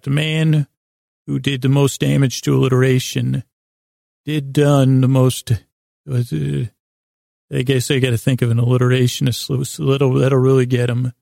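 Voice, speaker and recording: Creaky-voiced man rambling a bedtime monologue. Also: medium pitch, no echo, clean studio sound. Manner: meandering, halting, repeats and rephrases himself, gently goofy. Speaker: podcast host.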